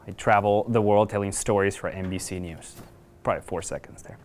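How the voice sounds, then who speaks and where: A man's voice: lowish voice, steady tone, a little fast. Chatty man giving a talk. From Venezuela. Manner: conversational and self-deprecating, with frequent fillers. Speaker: guest speaker, video journalist. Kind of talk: conference talk. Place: lecture room, filmed.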